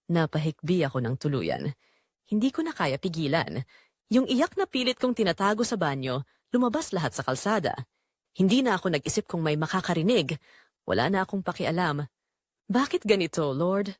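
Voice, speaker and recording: A woman's voice: fairly low pitch, no echo, studio quality. A woman with a Filipino accent playing a weary, anguished migrant-worker mother, telling her story in an emotive, confiding audio drama narration.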